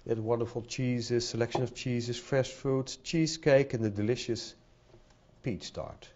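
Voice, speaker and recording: A man's voice: medium pitch, steady tone, a little slow, darkish tone. A middle-aged man with a Dutch accent giving a conference talk at a podium, hesitant, with many fillers and repeated words. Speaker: conference speaker. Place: conference hall with audience. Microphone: podium microphone. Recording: dull lo-fi sound.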